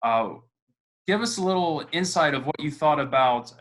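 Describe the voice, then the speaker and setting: A man's voice: fairly high pitch, animated, normal speed, fairly bright tone, poor audio. A man moderating an online panel, introducing guests and asking questions with occasional fillers. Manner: friendly, guiding questions with fillers. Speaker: host. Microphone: video call.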